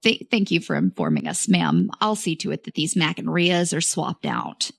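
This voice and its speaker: A woman's voice: low-pitched, huge pitch swings, moderate pace, vocal fry, husky, dry recording, pitched below the performer's own voice, full character voice. A woman voicing a nervous, awkward, deferential male supermarket employee who stammers hesitantly in a comic story.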